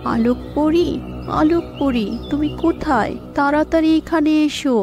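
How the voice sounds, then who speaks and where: A woman as an earnest, high-voiced village girl. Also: normal speed, over music. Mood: worried. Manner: earnest, urgent, pleading.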